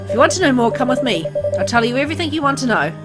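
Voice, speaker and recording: A woman's voice: high-pitched, sing-song, rapid-fire, loud music behind the voice, voice pushed up. Woman acting out a theatrical, taunting centuries-old man. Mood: inviting. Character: mysterious century-old mansion host, male, teasing and smug.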